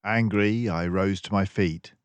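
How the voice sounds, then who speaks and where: An older man reading a children's story aloud in an expressive, unhurried way. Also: low-pitched, clean tone, no room sound. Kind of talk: scripted narration.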